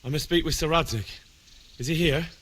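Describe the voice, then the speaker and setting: An actor playing an earnest, determined young blacksmith's son in a medieval video game, plain and sincere. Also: male voice, fairly high pitch, fairly quick, a bit bright, slight background noise, natural voice.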